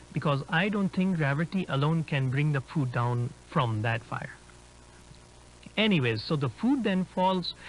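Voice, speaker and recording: A man's voice: fairly high pitch, rough recording. A man playing an inquisitive explorer, explaining things conversationally as he films a found-footage drama. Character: inquisitive male urban-explorer filming a dinosaur park. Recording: humming background noise.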